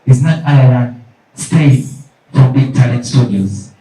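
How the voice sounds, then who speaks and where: A man's voice: mid-range voice, measured pace, warm tone, soft background music. A man hosting a press event, hyping up the guests and mixing Luganda with English. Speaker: event host. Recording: echoey hall from far off.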